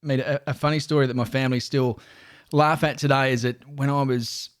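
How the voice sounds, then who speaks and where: A man's voice: mid-range voice, fairly even intonation, a little fast. An Australian man in his forties from Newcastle, a stadium announcer talking as a podcast guest in a friendly, rambling way with many restarts. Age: 40s. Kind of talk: casual podcast interview.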